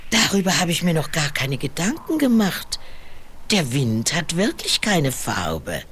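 An actress playing a stately, gracious old fir tree in a fairy-tale radio play, with a husky, breathy voice and genteel, effusive phrasing. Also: female voice, low-pitched, sing-song, a little slow, a bit bright, slight background noise, heavily acted. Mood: intrigued.

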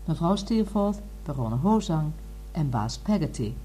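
A woman reading formally as a radio drama announcer, in a measured, deliberate manner. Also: sits low, very animated, mellow, a little hiss or hum. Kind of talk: formal scripted credits reading.

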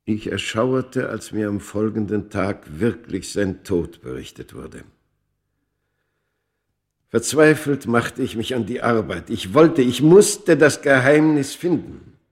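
Tormented immortal Egyptian man, low voice, grave, deliberate, literary radio drama narration, weary of centuries. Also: expressive. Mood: anguished. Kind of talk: dramatic first-person radio play narration.

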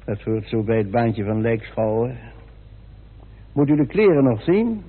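An actor playing a courteous, formal and wordy colonel in a radio drama. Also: male voice, mid-range voice, sing-song, unhurried, slightly rough. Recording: tinny old radio recording.

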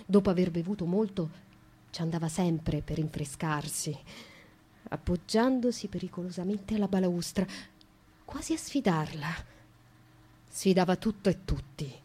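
An actress in a radio play voicing a young noblewoman who confesses to killing her father, speaking intensely, dropping to a hush and rising to impassioned outbursts. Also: on the low side, slightly rough. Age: young adult. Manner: intense, shifts from hushed to impassioned. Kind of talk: dramatic radio monologue.

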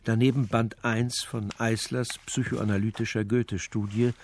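A man's voice: fairly low pitch, flat delivery, normal speed, a little hiss or hum, close to an everyday voice. A male actor playing the sober, matter-of-fact narrator of a detective story in a scripted radio play.

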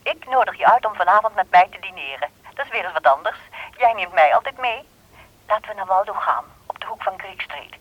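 A woman's voice: medium pitch, lively intonation, fairly quick, no echo. A woman playing a forward, self-assured woman in a Dutch radio drama, coaxing and direct, heard through a telephone. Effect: telephone filter. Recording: muffled telephone sound.

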